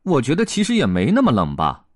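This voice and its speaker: Man doing a cheerful patchwork elephant, raised playful pitch, coaxing and teasing, storybook voice, dry close sound. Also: wildly swooping pitch, quick pace, no echo. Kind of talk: storybook character voice.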